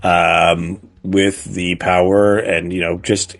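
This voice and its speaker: A middle-aged man co-hosting a casual podcast, speaking haltingly as he searches for words. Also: sits low, level tone, gravelly, dry recording. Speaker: podcast co-host. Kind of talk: casual chat about video games.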